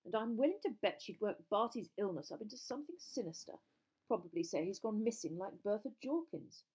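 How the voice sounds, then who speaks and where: A woman's voice: mid-range voice, animated, a little fast, a little mellow, slightly rough, pitched above the performer's own voice. A woman voicing a jovial but nervous, wheedling middle-aged man, a sports official in a storybook, with a hesitant, chummy, blustering manner. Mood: nervous, evasive.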